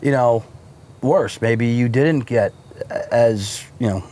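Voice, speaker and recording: A man's voice: medium pitch, takes it slowly, warm tone. A man hosting a casual fireside interview, chatting along and asking long, winding questions. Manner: conversational, agreeing often, asking long questions. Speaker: podcast host. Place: outdoors by a fire pit.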